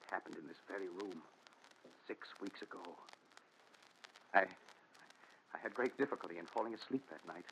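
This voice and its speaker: An actor playing a haunted, desperate man confessing his story, with intense, dramatic pauses in 1940s radio melodrama style. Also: deep voice, creaky, fairly bright tone. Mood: haunted, fearful. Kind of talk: 1940s radio thriller acting. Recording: dull noisy 1940s radio recording.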